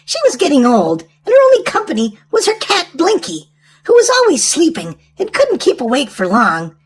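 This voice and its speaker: A woman reading a storybook aloud to young children in an animated, sing-song way. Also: high voice, normal speed. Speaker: children's storytime host and reader.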